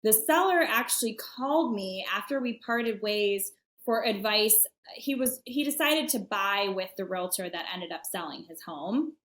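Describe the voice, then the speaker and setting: Mid-pitched woman, talkative guest sharing stories. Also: normal speed, fairly bright tone. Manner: chatty, many fillers, laughs often. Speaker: podcast guest, real estate expert. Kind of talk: casual podcast conversation.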